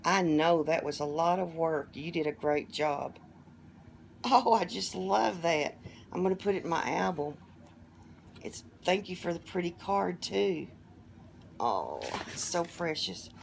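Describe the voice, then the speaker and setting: A hoarse, middle-aged woman talks slowly and gently, her voice sitting a little low as she coos over each gift. She records from a phone on a table in her living room, with a faint hiss of the room behind her. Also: animated. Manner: gushing, softly delighted exclamations. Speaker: vlogger opening gifts. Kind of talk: casual unboxing chat.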